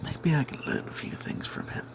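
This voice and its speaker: A man narrating a fantasy role-playing tale in first person as ASMR, in a breathy, secretive whisper, rambling wryly as if not to be overheard. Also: moderate pace. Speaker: storyteller narrating a tabletop game tale.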